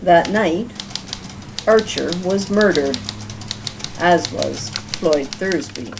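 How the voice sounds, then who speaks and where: A woman announcing and narrating a radio-style mystery drama in a measured, dramatic storytelling manner. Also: lowish voice, expressive, noisy background. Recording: music clearly behind the voice.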